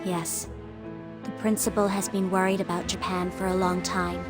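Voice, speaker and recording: A woman's voice: lowish voice, flat delivery, normal speed, close to an everyday voice. Woman playing a composed secret agent over music. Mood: serious. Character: composed, serious female intelligence agent. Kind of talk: dramatic video game voice acting.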